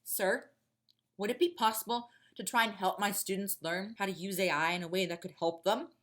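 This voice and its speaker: A woman's voice: medium pitch, normal speed, fairly bright tone, natural voice. A woman voicing an earnest, uneasy young male teacher who pleads his case in an audio drama. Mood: pleading, insistent.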